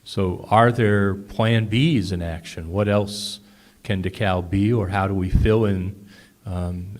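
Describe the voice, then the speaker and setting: Low flat-voiced guy at a town meeting, lots of ums and restarts. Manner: halting, many ums and restarts. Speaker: resident commenting at public meeting. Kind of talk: public comment at open house.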